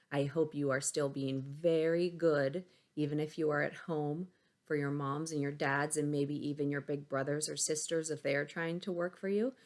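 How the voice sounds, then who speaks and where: A woman's voice: low-pitched, darkish tone. A woman schoolteacher reading a picture book aloud to young pupils, using simple phrasing and stopping to ask them questions. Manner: simple child-directed phrasing, checking questions.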